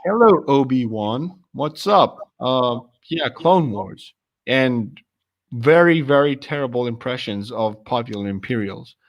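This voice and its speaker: A young man with a deep voice, chatting casually on a stream and telling stories in a rambling, animated way with lots of fillers and restarts. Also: a little slow, lo-fi sound. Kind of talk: casual chat.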